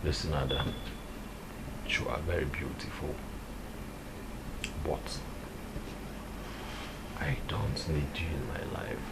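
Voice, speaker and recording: A man's voice: extremely low pitch, heavy background noise, full character voice. An actor playing a young man who firmly turns away a woman who loves him. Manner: firm, measured, dismissive. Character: cold young man refusing a woman's love. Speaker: son who rejects a suitor. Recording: loud music under the voice.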